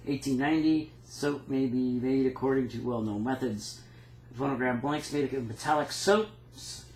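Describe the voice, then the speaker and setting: A man casually showing his notes and reading out dates and patent text, with many pauses. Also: mid-range voice, fairly even intonation, a little mellow, reverberant, poor audio. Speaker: phonograph cylinder maker. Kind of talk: casual show-and-tell walkthrough.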